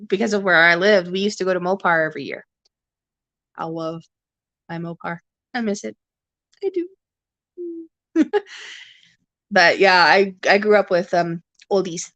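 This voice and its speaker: A woman's voice: mid-range voice, fairly bright tone, no room sound. A Canadian woman in her forties chatting casually with viewers on a livestream, rambling with many restarts and fillers. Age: 40s. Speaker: livestream host. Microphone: webcam or phone.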